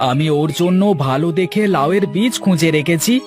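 A man voicing an excitable, vengeful farmer, an elder brother in a cartoon fairytale, with emphatic delivery. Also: on the high side, a little fast, lightly acted. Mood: indignant, vengeful. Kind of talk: animated moral fairytale dialogue. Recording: music behind the voice.

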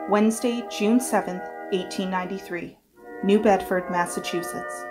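A woman narrating a trial drama in a formal, deliberate, scripted announcing style. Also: mid-range voice.

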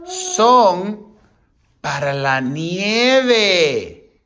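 Middle-aged man, home vlog, patient coaxing parent, unhurried, animated intonation, distant camera mic. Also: sits high. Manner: patient, coaxing questions to children. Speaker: parent vlogger. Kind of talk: casual family chat. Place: home living room, indoors. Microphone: camera across the room.

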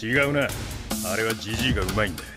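An actor playing a brash young male boxer who talks in coarse, quick street slang in an anime. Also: lowish voice, natural voice. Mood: knowing. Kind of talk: anime dub acting. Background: background music.

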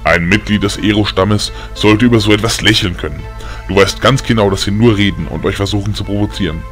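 A man voicing a strict, gruff but loving old grandfather who lectures sternly in an audio story. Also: fairly low pitch, a little fast, fairly bright tone, soft background music, voice pushed down, lightly acted. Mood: admonishing.

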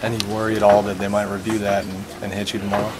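A man working as a sports reporter, asking quick, brisk questions in a locker room scrum. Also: lowish voice, monotone, background noise. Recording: loud crowd chatter around him. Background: loud crowd chatter.

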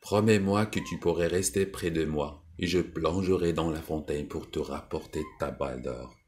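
A man voicing an ugly old toad in a storybook tale, with a hoarse, cracked voice. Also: very deep voice, moderate pace, a little mellow. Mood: coaxing.

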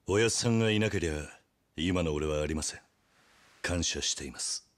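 An actor playing a gruff, loyal young yakuza lieutenant with a terse, grave manner in a video game drama. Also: male voice, deep voice, moderate pace, lightly acted. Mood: respectful, reserved.

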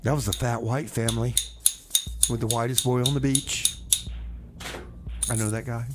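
A man's voice: medium pitch, steady tone, moderate pace, very noisy. A man hosting a casual music radio show with comic banter, stammering and rambling as he repeats phrases. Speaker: radio host.

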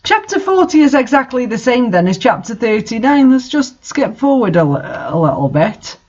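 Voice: medium pitch, big pitch swings, normal speed, darkish tone, dull audio. A host reading fan fiction aloud and adding casual, incredulous commentary.

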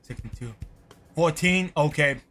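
A man's voice: on the high side, unhurried, fairly bright tone. A man in his twenties chatting to his viewers while playing a game, rambling and repeating himself, with short bursts of frustration. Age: 20s. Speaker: streamer. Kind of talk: casual game commentary to chat. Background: game music.

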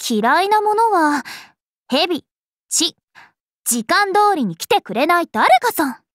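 An actress playing a cheerful, playful young vampire princess in an anime game, speaking in a bubbly, teasing, animated way. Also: female voice, very high voice, slow, dry recording, studio quality, full character voice. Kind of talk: anime game character voice lines.

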